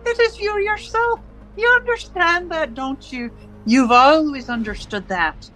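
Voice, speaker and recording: Woman acting cold, high-voiced, commanding interrogator. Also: bright, forceful tone, soft background music, rough recording. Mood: cold, measured menace. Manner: deliberate, rhetorical, commanding, probing with questions. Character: cold, domineering party interrogator and torturer, righteous and controlling. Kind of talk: theatrical radio drama acting.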